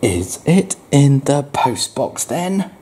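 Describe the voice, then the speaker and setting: A man plays a picture-book duck, puzzled and pleased with itself. The delivery is theatrical, with big swoops in pitch and an unhurried pace. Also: big pitch swings, mellow, a little hiss or hum. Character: puzzled, self-satisfied duck truck driver in a picture book.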